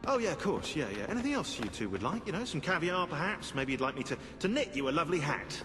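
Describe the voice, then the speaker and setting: An actor with a British accent playing a sarcastic, grumbling scholarly historian in a video game cutscene, wordy and theatrically exasperated. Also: male voice, on the high side, sing-song, fast. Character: sarcastic, grumbling scholarly male historian in a modern assassin team. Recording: long hall reverb, music behind.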